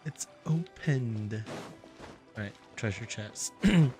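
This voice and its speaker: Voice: mid-range voice, lively intonation, slow pace, darkish tone. A game streamer giving casual live commentary, muttering slangy reactions with some swearing. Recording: game music behind the voice.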